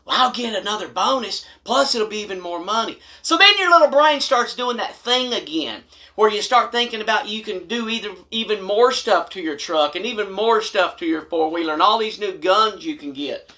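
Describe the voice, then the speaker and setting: American male vlogger for comic advice monologue: folksy and blunt, animated emphasis, bright forceful tone. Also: high-pitched, normal speed, hardly any pauses. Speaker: video host telling stories and advice. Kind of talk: humorous storytime monologue to camera.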